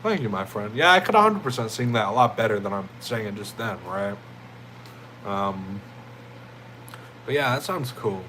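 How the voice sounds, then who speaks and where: A young man with a deep, jazzy voice, chatting casually with viewers on a livestream in a loose, rambling way. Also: expressive, normal speed, pauses often, bright, forceful tone, a little hiss or hum, rough recording. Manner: laid-back, rambling, thanks chatters as "my friend" and "bro".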